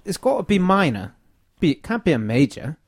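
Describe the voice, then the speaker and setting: A man's voice: on the high side, big pitch swings, brisk, no room sound, clean studio sound. A man playfully putting on a hammy, wandering British accent as a podcast co-host. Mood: fussy. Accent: put-on British.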